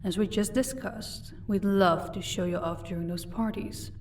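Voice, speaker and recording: A woman's voice: mid-range voice, steady tone, moderate pace, a little mellow, far from the mic, slight background noise, close to an everyday voice. A woman playing a proud, sardonic vampire captor in an audio roleplay, commanding and dryly sarcastic. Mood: cool, explaining.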